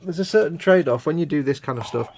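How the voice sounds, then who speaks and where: A man's voice: on the high side, fast talker, darkish tone, slight background noise. A British man hosting a live model-building stream, chatting casually and self-deprecatingly, often restarting his sentences. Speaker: host of a model-building channel. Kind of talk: casual chat on a livestream.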